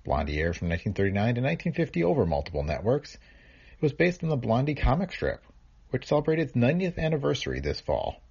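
Guy hosting a podcast, husky and creaky, pitch moves around a lot, bit muffled. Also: wildly swooping pitch, fast, darkish tone. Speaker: podcast host. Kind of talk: scripted podcast introduction.

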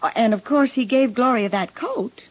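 An actress playing a flustered, defensive neighbor, hesitant and protesting under questioning in a 1950s radio drama. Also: female voice, on the high side, normal speed, natural voice. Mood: hesitant, cooperative. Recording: dull, lo-fi 1950s radio recording.

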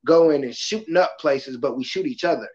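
A man's voice: fairly high pitch, normal speed. Passionate man on a lo-fi podcast. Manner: passionate, loud-voiced, acts out quotes. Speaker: podcast host; kindergarten school worker. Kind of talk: casual podcast conversation.

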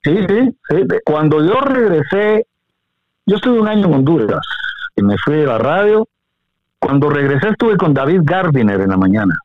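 A middle-aged Guatemalan man, a veteran broadcaster, reminiscing in an interview in a measured, courteous way. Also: on the high side, big pitch swings, dry recording. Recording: dry, narrow phone-like line.

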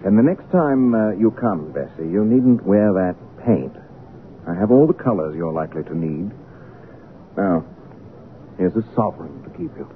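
An actor playing an intense, imperious painter obsessed with a lost love, brusque and fervent in old-time radio drama style. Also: male voice, sits low, lively intonation, normal speed. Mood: brusque, commanding. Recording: tinny, noisy old radio recording.

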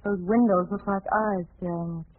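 Genteel, apprehensive young lady for vintage radio mystery, played by a woman: medium pitch, noisy narrow-band recording. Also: steady tone, normal speed, natural voice. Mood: uneasy. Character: genteel young lady companion to a sleuth, uneasy. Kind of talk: 1940s radio acting.

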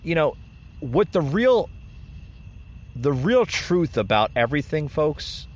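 A man's voice: on the high side, very animated, slow pace. A man hosting an opinion podcast, talking emphatically and often calling his listeners folks. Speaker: podcast host. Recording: dull lo-fi sound with faint noise.

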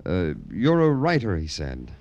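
Wry hard-boiled detective, swooping delivery. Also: male voice, deep voice, wildly swooping pitch, a little slow, darkish tone, lo-fi sound, exaggerated voice. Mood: coolly needling. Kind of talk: 1940s-50s radio noir acting and narration. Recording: vintage radio drama with music.

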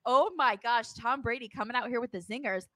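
High-voiced woman co-hosting a sports podcast. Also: fairly quick, fairly bright tone, dry recording. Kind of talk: casual post-game sports chat.

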